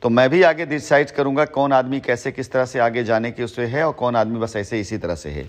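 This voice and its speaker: A man's voice: mid-range voice, a little fast. A man speaking assertively and at length on a recorded phone call, repeating himself for emphasis. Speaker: company head on a phone call with a former associate. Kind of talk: phone conversation in Hindi mixed with English words.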